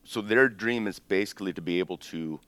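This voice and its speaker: A man in his fifties with an extremely low, rumbling voice, born in Greece and raised in the States. He tells his family story in a loose, meandering way, full of ums and restarts, as a guest being interviewed. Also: a little fast, no echo. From born in Greece, raised in the United States. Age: 50s. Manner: relaxed, rambling, with frequent ums and self-corrections.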